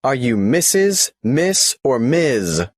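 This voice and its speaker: An actor playing a government clerk who patiently and methodically takes down a tax application in a scripted listening-test dialogue. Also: male voice, medium pitch, sing-song, slow, dry recording, studio quality. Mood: matter-of-fact.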